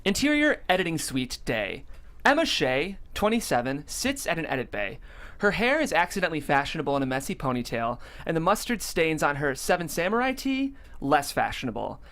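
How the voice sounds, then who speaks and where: A man's voice: on the high side, big pitch swings, a little fast, fairly bright tone. A man speaking enthusiastically as host of a table read in a studio, introducing and reading stage directions.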